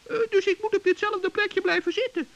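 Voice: steady tone, brisk, a bit bright, a little hiss or hum. A performer voicing a small, eager, naive male gnome, childlike and earnest, in a children's radio play. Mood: eager, nervous. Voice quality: squeaky, creaky. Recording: dull vintage radio play recording.

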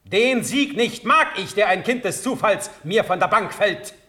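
A man's voice: sits high, lively intonation, measured pace, sharp tone, heavily acted. An actor playing a stern, proud male sovereign in a classical radio drama, with a commanding, formal declamation. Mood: proud, resolute.

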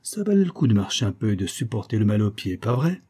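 Man playing a brash, husky bargain-hunting husband. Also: lowish voice, very animated, fast talker, mellow. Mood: satisfied. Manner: blunt, jovial, boastful. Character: brash, self-satisfied male tourist hunting bargains.

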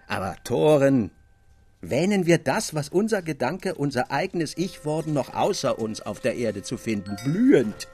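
A man's voice: medium pitch, a little mellow. An actor playing a wistful, disenchanted man of letters in a German radio drama, speaking in a theatrical, declamatory manner. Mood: wistful, brooding.

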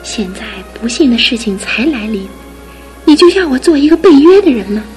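An actress in a radio drama playing a devoted young fiancée who speaks earnestly and pleadingly, with steady resolve. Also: female voice, high-pitched, moderate pace, soft background music. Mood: resolute. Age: young adult. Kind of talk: broadcast radio drama acting.

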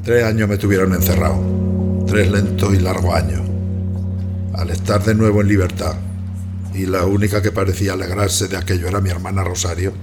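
A man from Spain acting an embittered, vengeful rural man in a radio play, terse and brooding. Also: deep voice. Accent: Spanish, from Spain.

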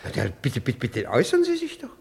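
An actor playing a nervous, fussy middle-aged husband who stammers and repeats himself, in a 1960s radio play. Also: male voice, medium pitch, wildly swooping pitch, quick pace, gravelly, breathy edge, full character voice. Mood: indignant.

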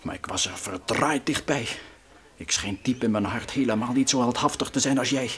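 An actor playing an anxious, wry space traveller who grumbles and jokes colloquially in a Dutch radio play. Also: male voice, mid-range voice, normal speed, a crowd in the background, lightly acted. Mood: confessing, uneasy.

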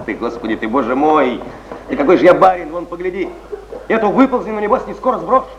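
Actor as restless melancholy poet, swooping high voice. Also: male voice, lively intonation, brisk, close to an everyday voice. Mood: indignant. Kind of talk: theatrical stage declamation. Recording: noisy muffled 1970s theatre recording, echoey.

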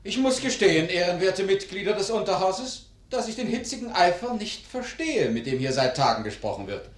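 A man's voice: high-pitched, very animated, brisk. An actor in a radio play playing a pompous, sceptical male parliamentarian, speaking in declamatory oratory. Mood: sceptical, declaiming. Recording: echoey hall acoustic.

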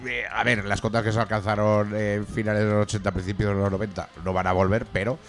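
A man's voice: fairly low pitch, little pitch movement, fast, soft background music. A man hosting a rock podcast interview, talking in a chatty way with many fillers and restarts. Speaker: podcast host. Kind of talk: casual radio interview.

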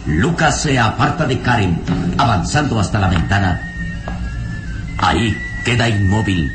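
A man's voice: lowish voice, animated, unhurried. A man narrating a radio serial in a dramatic, scripted style. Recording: vintage radio serial with music behind.

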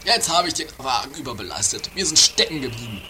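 A man playing an excitable, grumbling, put-upon driver in a trashy comedy radio play. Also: mid-range voice, quick pace. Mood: exasperated. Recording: noisy amateur 1980s radio play recording.

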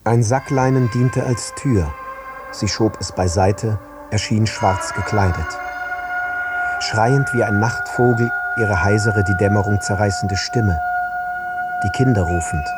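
A man narrating literary prose for an audio drama in measured, separate short phrases. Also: lowish voice. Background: prominent music.

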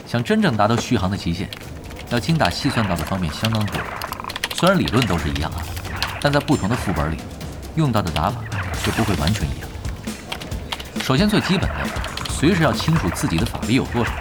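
A young male gaming expert in an audio drama. He talks fast and casually, with a dry, teasing confidence. Music plays clearly behind him. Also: lowish voice, very animated. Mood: patient, instructive. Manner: casual, assured, dryly teasing. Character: laid-back, teasing, expert male gamer in his twenties. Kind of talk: Chinese audio drama acting.

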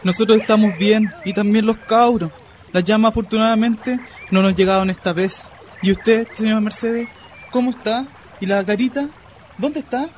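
A man's voice: sits high, steady tone, normal speed, lightly acted. A man playing a protective working-class husband and father in a radio drama, earnest and colloquial with a Chilean accent. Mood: concerned. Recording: clear ambient noise behind voice.

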